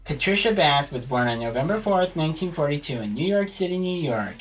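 Very low-voiced woman, distant from mic. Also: expressive, fairly quick. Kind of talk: scripted read-aloud segment. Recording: distant mic with faint music.

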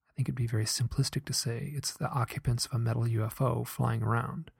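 Brief: earnest soft-spoken man, gravelly husky tone, dry close sound, musing monologue. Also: medium pitch, flat delivery, quick pace, dry recording. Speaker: podcaster recounting a hypnosis session. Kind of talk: reflective personal monologue.

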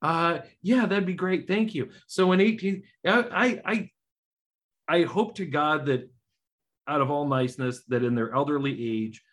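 A man's voice: fairly high pitch, unhurried, fairly bright tone. A man hosting a podcast, telling a story in a laid-back, self-deprecating way and swearing casually. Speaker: podcast host. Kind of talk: conversational storytelling.

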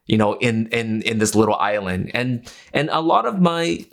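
A man's voice: lowish voice, lively intonation, normal speed, vocal fry. A middle-aged man, a podcast guest telling stories in casual conversation, with restarts and lots of "you know.". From Japan.

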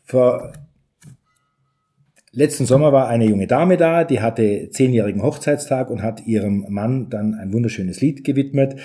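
A man's voice: mid-range voice, measured pace, mellow. A middle-aged man answering interview questions as a studio owner, chatty and explanatory with a joking streak. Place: home recording studio indoors.

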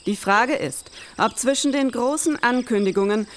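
A woman's voice: on the high side, moderate pace, slight background noise. A woman hosting an investigative podcast in scripted, dramatised style, as narrator and interviewer.

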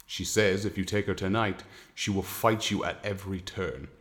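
An actor playing a steady, wry, protective husband in a colonial period audio drama, with measured old-fashioned phrasing. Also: male voice, low-pitched, husky.

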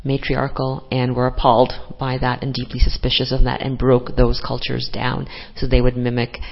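A man speaking in a measured way at a conference panel discussion, framing a question for a panelist. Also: medium pitch, monotone, vocal fry. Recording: dull lo-fi conference recording.

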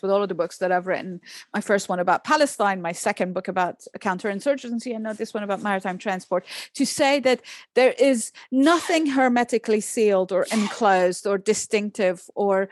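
A woman scholar discussing her book in an interview, articulate and lively, with frequent restarts. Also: mid-range voice, dry recording. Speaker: author and Middle East scholar. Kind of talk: academic interview answer.

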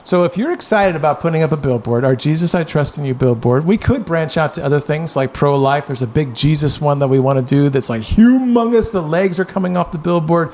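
A man co-hosting a casual chat show, eager and quick to agree and jump in. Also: fairly high pitch, a little mellow. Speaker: podcast co-host.

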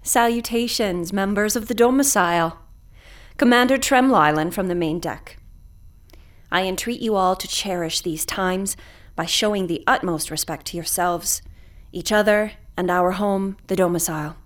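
A woman's voice: medium pitch, moderate pace, no room sound, natural voice. A woman playing a weary, stranded spaceship commander in an audio drama, earnest and dignified. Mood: solemn.

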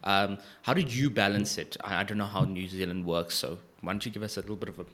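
Effusive, low-voiced male podcast host. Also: quick pace. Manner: effusive, flattering, with hesitations. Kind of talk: scripted intro then casual interview.

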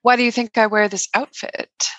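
A woman's voice: on the high side, fairly even intonation, normal speed, a bit bright, no echo, muffled recording, natural voice. A woman playing an earnest, defensive worker at a spooky place in an improvised comedy sketch.

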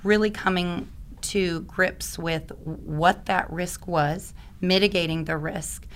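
A woman from Oklahoma with a slightly raspy voice, enthusiastically explaining insurance as an interview guest, with many ums. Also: fairly low pitch, fairly even intonation, takes it slowly, slight background noise. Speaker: guest, insurance risk advisor. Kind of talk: conversational interview.

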